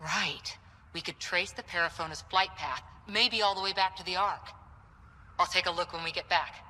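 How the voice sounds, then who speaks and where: A woman's voice: lowish voice, normal speed, long reverb tail, low-quality recording. A woman playing a determined, wry young space explorer leading her squad in a video game. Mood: resolute. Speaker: player character, team leader. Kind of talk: video game cutscene acting. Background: loud game music.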